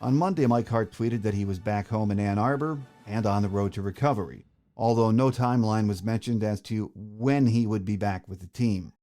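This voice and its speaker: Low-voiced man hosting a sports podcast. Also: normal speed, mellow, soft background music. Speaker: podcast host. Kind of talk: sports podcast talk and interview.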